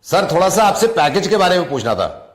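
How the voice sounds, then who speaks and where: A man's voice: high voice, big pitch swings, fairly quick, a crowd in the background. Echoey, hesitant, polite male caller. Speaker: prank caller. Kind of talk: casual phone enquiry. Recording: echoey, roomy sound.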